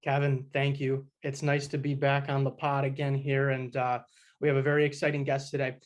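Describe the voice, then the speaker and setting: A man co-hosting a podcast, speaking in a relaxed way with lots of fillers. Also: mid-range voice, brisk. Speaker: podcast co-host.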